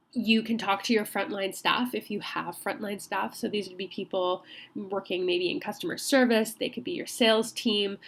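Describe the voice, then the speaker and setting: Woman lecturing with a slight cold. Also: mid-range voice. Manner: friendly, conversational, many ums and restarts. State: has a cold. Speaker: college instructor. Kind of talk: recorded online lecture.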